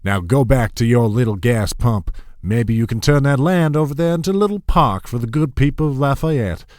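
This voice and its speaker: An actor playing a condescending, menacing old oil baron in an audio drama, sneering and patronizing. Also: male voice, mid-range voice, normal speed, darkish tone, close to an everyday voice. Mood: scornful.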